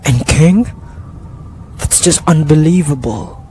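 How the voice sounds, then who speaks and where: A man playing a proud war general in an amateur radio drama, speaking in a declamatory, deliberate way. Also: on the high side, warm tone, a little hiss or hum. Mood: alarmed, disbelieving. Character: proud Scottish war general, startled and disbelieving.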